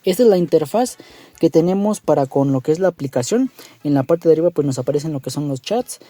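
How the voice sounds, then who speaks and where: A man's voice: a little high, lively intonation, fast, mellow, no echo. A man narrating a casual app tutorial in an informal, meandering way, often checking in with the listener. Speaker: tutorial host.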